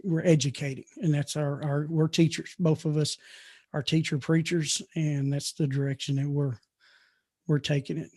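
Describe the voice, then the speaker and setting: A man's voice: a little high, warm tone. A man speaking as a guest teacher in a casual online chat, earnest and often correcting himself.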